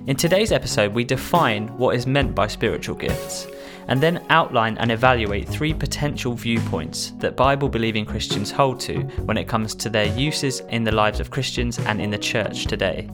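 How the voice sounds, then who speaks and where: A man hosting a faith podcast in a relaxed, friendly chat with two guests. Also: mid-range voice, moderate pace, hardly any pauses, music in the background. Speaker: podcast host.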